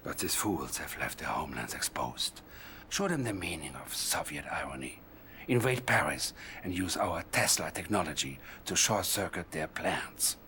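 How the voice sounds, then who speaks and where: Casting: a scheming psychic adviser, low voice, soft menacing whisper, lively inflection. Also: male voice, moderate pace, slight background noise, full character voice. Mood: cold. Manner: soft, sinister, whispery delivery. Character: sinister bald male psychic adviser, scheming. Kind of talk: full-motion video game cutscene.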